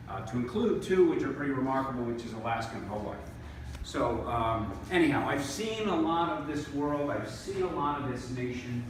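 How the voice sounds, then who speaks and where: A man's voice: medium pitch, background noise. A man in his fifties from northern New York, a congressional candidate giving a folksy, conversational campaign speech with fillers and repeated words. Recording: echoey room recorded from far off.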